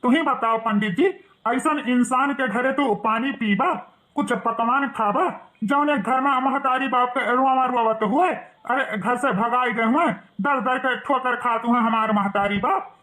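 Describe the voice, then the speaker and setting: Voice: medium pitch, measured pace, pushed and bright, rough recording. A performer voicing a bitter, outspoken young village man in a cartoon moral story, taunting and reproachful, with an Awadhi accent.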